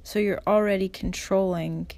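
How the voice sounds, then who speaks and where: A woman leading a guided meditation as an instructor, speaking in a calm, measured, soft way with pauses. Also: mid-range voice, vocal fry.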